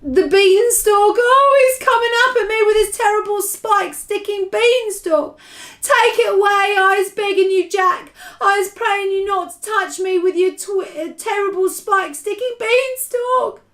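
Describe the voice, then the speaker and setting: A great brutish giant acted out by a woman wails in terror. The voice is thrown far up high, loud and melodramatic, bellowing and pleading like a frightened storybook monster. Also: animated, measured pace. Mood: desperate pleading. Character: huge brutish man-eating male giant, terrified, pleading in nightmare.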